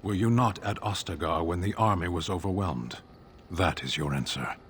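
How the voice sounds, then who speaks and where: An actor playing a stern, impatient, non-human warrior in a video game, speaking in terse, formal, deadpan phrases. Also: male voice, sits low, normal speed, a little hiss or hum. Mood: stoic, curt. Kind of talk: video game character dialogue.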